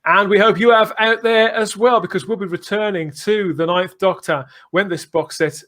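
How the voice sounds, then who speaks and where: A man's voice: high-pitched, steady tone, normal speed, a bit bright. A man hosting a podcast, chatting enthusiastically with effusive, theatrical turns of phrase. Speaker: podcast host. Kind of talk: enthusiastic podcast chat and sign-off.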